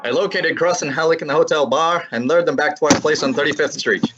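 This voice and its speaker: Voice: medium pitch, fast, dull audio, music in the background, lightly acted. A performer playing a brash young male assistant who also narrates in an old-time radio drama, talking in a teasing, breezy way. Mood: narrating.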